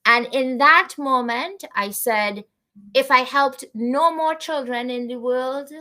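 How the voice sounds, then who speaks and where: A woman's voice: high voice, slow pace, bright, forceful tone. A woman guest on a podcast, talking earnestly and reflectively, with frequent fillers and restarts. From Canada. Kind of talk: conversational podcast interview.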